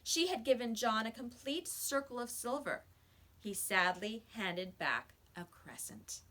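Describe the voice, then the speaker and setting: A woman's voice: on the high side, animated, measured pace, pushed and bright. A woman reading a children's story aloud like a teacher, with emphatic, dramatic storytelling.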